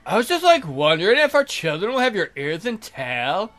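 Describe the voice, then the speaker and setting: Man putting on a whiny, high-pitched young maiden. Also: wildly swooping pitch, normal speed, fairly bright tone, faint music behind, heavily acted. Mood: musing. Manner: whiny, slangy, peppered with "like". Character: young maiden, ditzy valley-girl type, exasperated.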